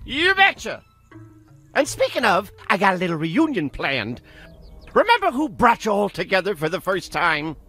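A man's voice: sits high, wildly swooping pitch, normal speed, sharp tone, exaggerated voice. A man voicing a mischievous, gloating imp from another dimension in a cartoon game, with theatrical, mocking delivery. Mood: smug, teasing. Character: gleeful mischievous imp from another dimension, self-appointed mayor. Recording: music behind the voice.

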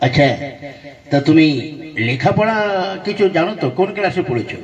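A man's voice: fairly high pitch, expressive, a little fast, natural voice. Man playing an exasperated puppet-show schoolmaster, dull sound. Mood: questioning. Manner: questioning, baffled, exclaiming. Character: exasperated village schoolmaster questioning a cheeky pupil. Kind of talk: comic puppet-show dialogue.

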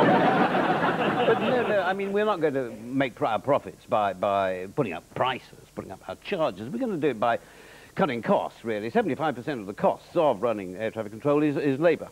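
A man's voice: medium pitch, sing-song, moderate pace, low-quality recording. A man in his fifties with an English accent playing a smug, evasive, unscrupulous businessman in a satirical mock interview, stammering and repeating himself. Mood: defensive, breezy. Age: 50s. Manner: hesitant, stammering, repeating words. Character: smug, evasive middle-aged British businessman, cheerfully unscrupulous. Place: television studio before an audience. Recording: TV studio with background noise.

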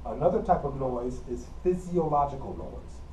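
A mellow-voiced middle-aged man with a Midwestern accent lectures on communication, repeating himself patiently in a plain teacherly way. His voice is caught by a camera mic across an empty classroom, so it sounds distant and roomy. A faint air-conditioner hum sits underneath. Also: mid-range voice, moderate pace. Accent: Midwestern American. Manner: plain, repetitive teacherly explaining with frequent coughs. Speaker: college communication instructor. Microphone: camera mic from across the room.

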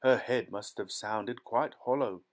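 A man voicing an eccentric factory owner who explains things in a brisk, matter-of-fact way. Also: medium pitch, close to an everyday voice.

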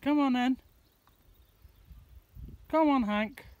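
A man talking casually and haltingly in an unscripted vlog as a hobby chicken keeper, outdoors on a phone. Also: very high-pitched, muffled recording. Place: outdoors in a grassy chicken run. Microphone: handheld phone, varying distance. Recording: noisy outdoor phone recording, chickens clucking. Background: loud outdoor noise, clucking and crowing chickens.

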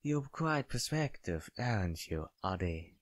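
A composed, mysterious young schoolwoman from a visual novel, as a man acts her out, speaks with a non-native English accent. Her lines come slowly and hesitantly, measured word by word, in a dry recording. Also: lowish voice, very animated, no room sound. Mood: measured, reflective. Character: mysterious, composed young woman, oldest student, soft-spoken.

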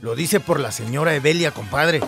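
An actor as a gravelly, fawning sidekick. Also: male voice, on the high side, moderate pace, a bit bright, faint music behind. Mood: gloating. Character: fawning sidekick of a sexist village boss. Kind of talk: radio soap opera acting.

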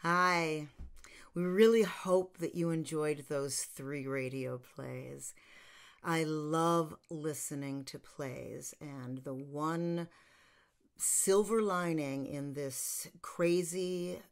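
An older woman speaks as a host in a low voice. She takes her time, casually introducing an online panel from her home study.

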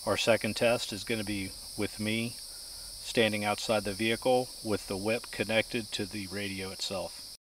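Bright-voiced middle-aged man explaining tech. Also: lowish voice, fairly even intonation, measured pace, a little hiss or hum, low-quality recording. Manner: precise, technical, formal wording. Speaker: host of a radio-testing channel. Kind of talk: scripted technical narration.

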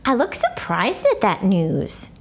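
A woman in her thirties reading a children's book aloud as an expressive, dramatic storyteller. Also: medium pitch, takes it slowly, creaky. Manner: animated, dramatic. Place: furnished living room, indoors. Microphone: lapel mic.

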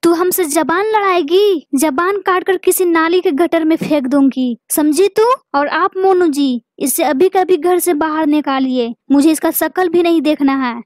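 A woman's voice: very high-pitched, unhurried, hardly any pauses, a little mellow, no room sound. A woman voicing a harsh, jealous young wife bird in a cartoon, scolding and domineering. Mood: threatening. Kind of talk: Hindi children's cartoon dialogue.

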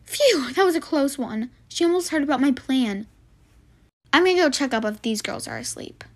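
A woman's voice: sits high, expressive, moderate pace, dry recording. A woman voicing a bossy, falsely sweet camp counselor with murderous schemes in a roleplay story. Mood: scheming.

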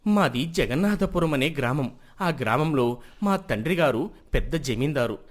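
Man acting an earnest wronged young man, animated. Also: fairly high pitch, normal speed. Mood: earnest, sorrowful. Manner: earnest, respectful, recounting. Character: wronged young man confessing theft, earnest landlord's son. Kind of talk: dramatised moral story acting.

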